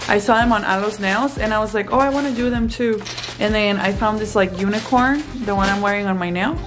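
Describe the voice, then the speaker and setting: A woman's voice: medium pitch, fairly quick, music in the background. A woman in her twenties chatting and laughing in casual, profane banter while filming herself close up in a hotel room. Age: 20s. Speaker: vlogger. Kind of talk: casual chat. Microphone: handheld camera close up.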